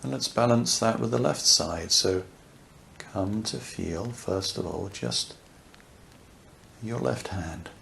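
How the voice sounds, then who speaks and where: A man leading a guided yoga nidra relaxation, speaking softly and soothingly, unhurried, with long pauses. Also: fairly low pitch, gravelly. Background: crackling fireplace ambience.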